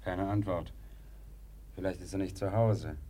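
An actor playing a matter-of-fact, dryly scornful police inspector with a clipped manner in a 1950s German radio play. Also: male voice, low voice, steady tone, unhurried, heavy background noise. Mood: calm, decisive. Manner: authoritative, clipped. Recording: echoey 1950s radio studio recording.

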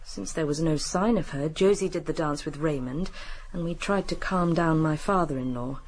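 An actress playing a composed, genteel, guarded British widow in a radio drama. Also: female voice, low-pitched, normal speed, husky, poor audio. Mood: guarded, measured.

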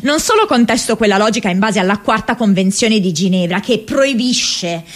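An actress playing an earnest, distressed young woman activist in a radio monologue, impassioned and halting, repeating words as she goes. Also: medium pitch, lively intonation, close to an everyday voice. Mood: indignant, insistent. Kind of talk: dramatic radio monologue from diaries and letters.

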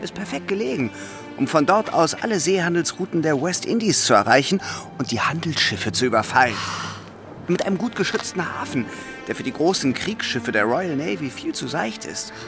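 An anxious young man in a radio drama, cautious and loyal, urging restraint. His pitch swings widely and his voice has a creaky edge, with music clearly behind him. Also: big pitch swings, brisk. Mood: wary, hushed. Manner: urgent, hesitant warnings. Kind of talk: German radio play acting.

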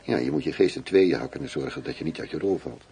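Deep-voiced, smug undercover police chief. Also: male voice, expressive, fast, gravelly, mellow, a little hiss or hum, full character voice. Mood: sober, weary. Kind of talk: intimate radio play dialogue.

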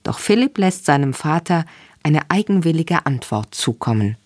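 A radio presenter reading a scripted introduction to a drama in a measured, factual way. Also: medium pitch, sing-song, no echo, studio quality. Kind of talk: scripted narration.

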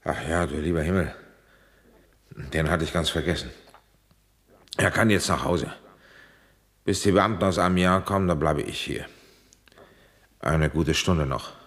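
A man's voice: low-pitched, unhurried, long pauses, a bit bright. A man playing a weary, suspicious police inspector with a probing manner in a German radio play. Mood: weary, narrating. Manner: probing, insistent. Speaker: detective and first-person narrator.

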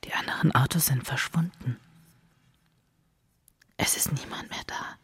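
An actress playing a woman narrating a dark, trancelike inner monologue in hushed, breathy, deliberate short phrases. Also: a bass voice, whispered, exaggerated voice. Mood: hushed, eerie. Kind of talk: intimate audio drama monologue.

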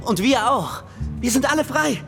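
Urgent, rallying journeyman over loud music. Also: very high-pitched, expressive, normal speed, vocal fry, full character voice. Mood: elated, urgent. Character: urgent, rallying male journeyman among freed brothers. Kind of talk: radio drama acting. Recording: loud music and crowd behind.

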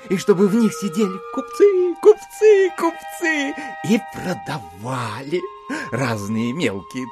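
An actor playing a dreamy, sentimental, idle landowner in an audio drama, with a drawn-out musing manner that repeats words. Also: male voice, sits high, heavily acted. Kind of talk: theatrical audio drama acting.

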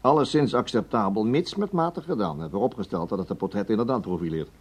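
A pompous boss in a radio drama, quick talker, keeps hemming and doubting. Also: male voice, on the low side, expressive, breathy edge, lo-fi sound. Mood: approving. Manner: pompous, interjecting with hems and tuts. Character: officious, sceptical male boss questioning an employee. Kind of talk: Dutch radio play acting.

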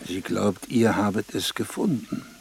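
Creaky, imperious prince-elector. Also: male voice, on the low side, a little slow, darkish tone, full character voice. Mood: stately. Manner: stately, imperious, archaic royal "we". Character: imperious, demanding male prince-elector, vain patron. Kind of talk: radio drama acting.

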